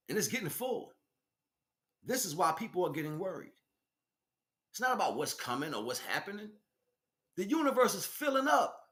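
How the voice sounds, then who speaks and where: A man's voice: high-pitched, a bit bright. An American man delivering an informal spiritual monologue as a teacher, speaking emphatically in streetwise slang and addressing listeners directly.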